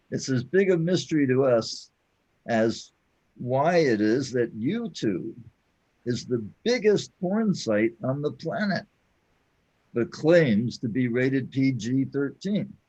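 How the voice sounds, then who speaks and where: A man playing a patient, reverent companion to a god in a scripted audio drama, explaining things in a deliberate, mock-solemn manner on a lo-fi track. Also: mid-range voice, a little mellow.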